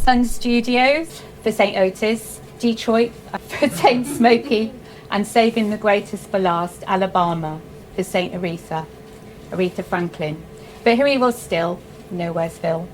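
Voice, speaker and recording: A woman from South Wales reading her own short story aloud in a measured, unhurried way with soft, dry humour. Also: medium pitch, slight background noise, rough recording.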